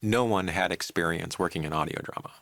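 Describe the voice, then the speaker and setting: Creaky, low-voiced man in an interview. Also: a little fast, a bit bright, no room sound, studio quality. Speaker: interviewee, audio drama producer. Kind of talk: reflective interview talk.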